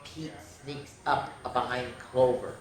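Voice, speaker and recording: A woman's voice: rumbling low, steady tone, takes it slowly, darkish tone. A woman hosting children's story time, reading picture books expressively and playfully, with chatty asides to young listeners. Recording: very echoey room with faint noise.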